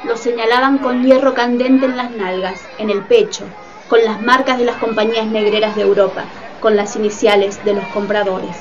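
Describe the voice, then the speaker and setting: A woman's voice: a little high, level tone, normal speed, recorded from a distance, lo-fi sound, a crowd in the background. A woman reading scripted narration for a radio play. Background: music.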